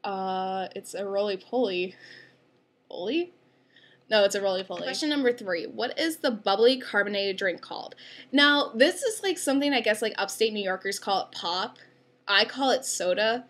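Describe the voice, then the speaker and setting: A woman's voice: medium pitch, moderate pace, a bit bright. A young woman with an upstate New York accent, chatting casually through an accent tag video, rambling with many fillers. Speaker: vlogger.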